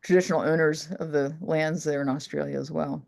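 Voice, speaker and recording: A woman's voice: deep voice, fairly even intonation, a little fast, vocal fry, darkish tone, no echo, clean studio sound. A woman co-hosting an interview over a video call, adding short interjections and questions. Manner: brief friendly interjections and questions. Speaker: co-host.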